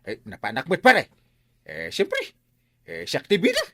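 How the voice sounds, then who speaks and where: Excitable tall-tale villager, Ilocano accent, bright forceful tone, close dry sound, comic radio drama. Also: male voice, fairly high pitch, unhurried, dry recording, heavily acted. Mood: boastful, animated. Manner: exaggerated, comic, with vocal sound effects. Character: boastful, excitable village man telling tall tales.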